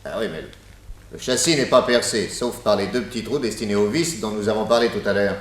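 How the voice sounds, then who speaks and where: An actor playing a shrewd, confident detective in a French radio mystery play, courteous and deliberate as he explains and probes. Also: on the low side, natural voice. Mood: intrigued. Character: shrewd, theatrical male detective, confident and probing.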